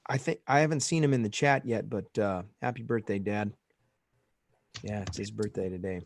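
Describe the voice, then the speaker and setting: A man's voice: lowish voice, normal speed, gravelly, no echo, studio quality. A man hosting a live-streamed talk show, giving friendly announcements and asking listeners to support the show. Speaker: podcast host.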